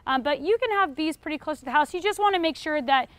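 Young American female teacher, high-pitched, informal Q&A lecture delivery, dry recording. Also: lively intonation, fast talker, a bit bright, no room sound. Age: young adult. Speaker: beekeeping class instructor. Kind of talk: informal lecture answering audience questions. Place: retail store aisle in front of a seated audience.

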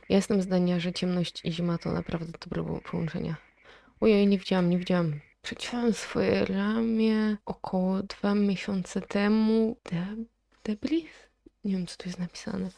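A woman giving casual reaction commentary, reading text aloud and thinking out loud in a chatty, inquisitive way. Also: mid-range voice, fairly even intonation, normal speed, creaky. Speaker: video creator reacting to analog horror.

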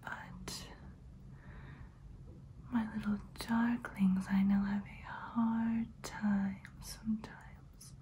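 A woman performs an ancient female vampire in an ASMR roleplay. Her breathy whisper is soft and mellow, drawn out very slowly and kept almost flat, soothing and hypnotic throughout. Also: mid-range voice, a little hiss or hum. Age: young adult. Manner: slow, soothing, hypnotic, repetitive. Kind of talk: ASMR personal-attention roleplay. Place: small room indoors.

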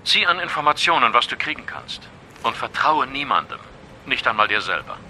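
Shady informant, very sharp lowish voice, lively pitch, cryptic and insistent, radio play. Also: male voice, a little fast, a little hiss or hum. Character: mysterious, commanding male informant giving warnings. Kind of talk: German audio drama acting.

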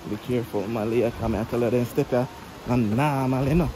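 Jamaican man vlogging by a roaring waterfall. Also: medium pitch. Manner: chatty, talking to viewers while hiking. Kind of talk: casual travel vlog narration. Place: outdoors at a river waterfall. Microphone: handheld camera. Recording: loud waterfall and outdoor noise. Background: rushing water, insects.

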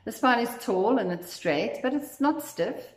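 A woman leading a guided meditation as instructor, speaking in a gentle, soothing, unhurried way. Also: a little high, animated. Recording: echoey, roomy sound.

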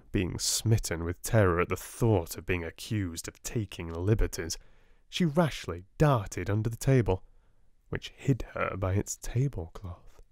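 A man with a British accent reading a classic children's novel aloud in a gentle, soft-spoken read-along style, close to the mic at a table indoors. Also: sits low, no room sound. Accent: British English. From Britain. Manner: gentle, calm and unhurried. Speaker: audiobook narrator. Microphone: close mic over a book on a table.

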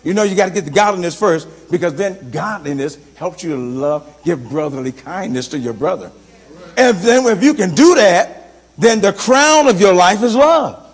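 Middle-aged pastor, high-pitched, animated sermon delivery in a big reverberant sanctuary. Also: male voice, normal speed, husky. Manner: animated, swings from loud to soft, gestures while preaching. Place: large church sanctuary, indoors. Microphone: handheld microphone. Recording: long church reverb.

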